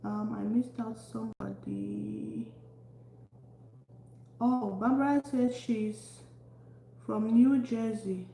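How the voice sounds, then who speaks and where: A woman from Cameroon, hosting a casual cooking live stream and chatting warmly with viewers by name as she cooks in her kitchen. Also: on the high side, animated, slow pace, vocal fry, long pauses. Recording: echoey room, faint background noise.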